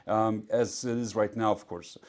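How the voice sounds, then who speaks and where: A man's voice: fairly low pitch, steady tone, normal speed, a little mellow. A young man from Poland who grew up in Singapore, a doctor explaining earnestly in an interview, with some fillers. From Poland, raised in Singapore. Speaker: physician guest. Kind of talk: interview answers. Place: clinic in Warsaw.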